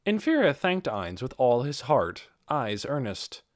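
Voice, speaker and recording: A man's voice: mid-range voice, big pitch swings, unhurried, dry recording, studio quality. A man reading fantasy fiction aloud as an audiobook narrator, in a scripted storytelling style.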